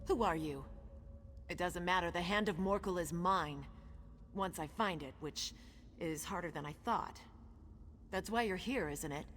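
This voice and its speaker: A woman's voice: lowish voice, unhurried, long pauses, slight background noise. A woman voicing a determined, impatient orc chieftain's daughter in a video game, speaking tersely and thinking aloud. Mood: wary, terse. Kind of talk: video game character dialogue. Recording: long reverb, loud game music behind.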